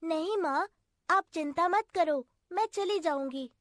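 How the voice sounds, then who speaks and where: A woman playing a sweet, obedient young girl in an animated story, speaking in a gentle, earnest, childlike way. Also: very high voice, unhurried, no room sound, studio quality. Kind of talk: animated story character acting.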